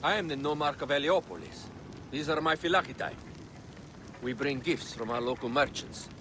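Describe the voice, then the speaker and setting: A man playing a composed, guarded ancient Egyptian official in a video game, speaking in a measured, formal way. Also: a little high, background noise, close to an everyday voice. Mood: formal, polite. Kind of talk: video game dramatic acting.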